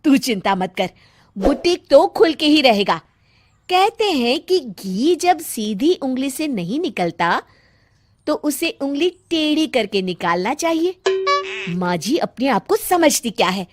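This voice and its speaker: A woman's voice: high voice, sing-song, measured pace, heavily acted. A woman voicing a crafty, confident elder daughter-in-law in a family comedy cartoon, coaxing and self-assured. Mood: scheming.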